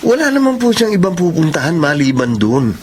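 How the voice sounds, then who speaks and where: A suspicious son in a radio soap, slightly high lively voice, urgent, noisy behind him. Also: male voice, brisk. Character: wary, suspicious grown child reporting to mother. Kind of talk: Filipino radio drama acting. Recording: loud ambient noise behind voice.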